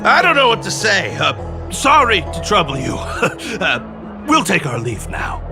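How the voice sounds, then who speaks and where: An actor playing a pompous, earnest comic performer in a video game, speaking in a grandiloquent, exaggerated manner. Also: male voice, sits high, huge pitch swings, a little slow, music in the background, full character voice. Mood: effusive gratitude. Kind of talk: animated video game voice acting.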